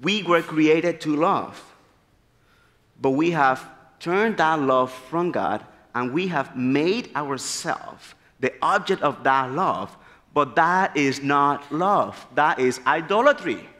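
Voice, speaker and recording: A man's voice: fairly high pitch, takes it slowly. Man preaching in a hall. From Dominican Republic. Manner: animated, gesturing freely, earnest storytelling. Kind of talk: sermon. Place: large church sanctuary, at a pulpit. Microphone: pulpit mic. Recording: long church hall reverb.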